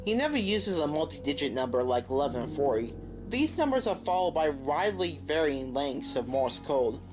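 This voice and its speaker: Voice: medium pitch, big pitch swings, normal speed, over music, poor audio. A narrator reading out a scripted, eerie story about a video game for a review video.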